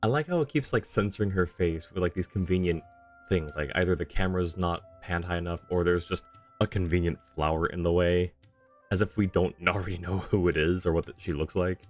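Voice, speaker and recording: A man's voice: sits low, a little fast. A man streaming a video game with casual, rambling commentary, thinking aloud. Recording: faint game music behind.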